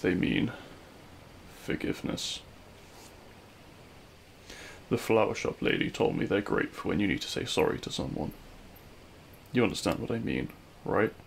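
Male actor as lovelorn supervillain, very creaky voice, hushed and halting, wistful, audio roleplay, faint noise. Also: sits low, expressive, exaggerated voice. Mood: tender, subdued. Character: regretful lovelorn male supervillain addressing his fallen hero. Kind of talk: scripted ASMR audio roleplay monologue.

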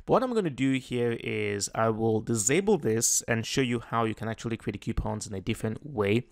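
A man narrating a screen-recorded tutorial in an easygoing, explanatory way. His voice sits in a middle pitch, and he stumbles and restarts now and then as he walks through each setting. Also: lively intonation, fairly quick, creaky. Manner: casual, explanatory, with frequent fillers and restarts. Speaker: tutorial host. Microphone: voice-over on a screen recording.

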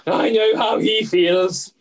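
A woman's voice: medium pitch, steady tone, takes it slowly. A woman playing a hard-up, cheeky pantomime mother with broad comic exclamations, in an amateur script reading over a video call. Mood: cheeky, delighted. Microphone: computer mic on video call.

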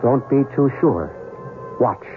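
Male lead, calm and mystical, mid-range voice, old radio play with music underneath. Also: medium pitch, slow. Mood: insistent. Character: calm, earnest, mystical male journalist, quietly insistent. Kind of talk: 1970s radio drama, first-person narration and dialogue. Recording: narrowband vintage radio recording, music behind.

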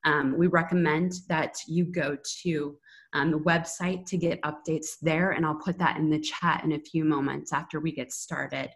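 A woman in her thirties moderating an online public briefing, speaking from an indoor room on a video call. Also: low-pitched. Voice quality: husky, creaky.

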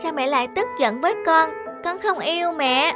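Innocent, sensitive cartoon small girl, played by a woman, very high, music behind. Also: normal speed, close to an everyday voice. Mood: innocent, puzzled. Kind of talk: cartoon dialogue.

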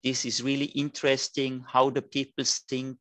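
A man in his fifties with a Liechtenstein German accent gives a friendly online lecture, his phrasing a little halting. His voice sits in a middle pitch and comes through dry and close over a video call. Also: completely flat delivery, a bit bright, dry recording. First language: German (Liechtenstein dialect). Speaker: dental technician educator.

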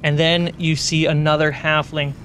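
Male game master, fairly high voice, narrating fantasy scenes over faint ambient noise. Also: level tone, moderate pace, a bit bright.

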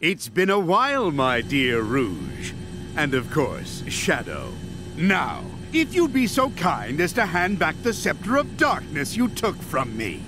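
A man's voice: fairly high pitch, wildly swooping pitch, slow, exaggerated voice. A man playing a pompous, scheming mad scientist in a video game, theatrical and mock-polite as he gloats. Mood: smugly polite. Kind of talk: video game cutscene acting. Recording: music under the voice.

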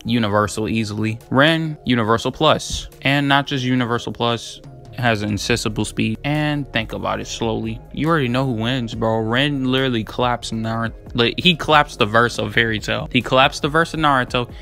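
A man's voice: mid-range voice, moderate pace, gravelly, pushed and bright. Young man, mid-range voice, casual rambling commentary, heavy slang and swearing, emphatic delivery, faint music behind. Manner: slangy, profane, hyped, emphatic. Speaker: video creator debating anime battle matchups.

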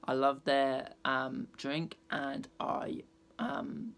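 A young man with a croaky throat, chatting hesitantly to his webcam from a small bedroom, full of ums. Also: mid-range voice, drawn-out words, fairly bright tone. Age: young adult. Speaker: vlogger. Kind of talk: casual chat to camera. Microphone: webcam at desk. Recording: backing music playing.

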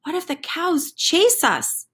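A woman's voice: very high voice, big pitch swings, slow pace, fairly bright tone, voice pushed up, exaggerated voice. A woman voicing cowardly, anxious storybook chickens that fret over every danger, chiming in one after another. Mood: anxious, worried.

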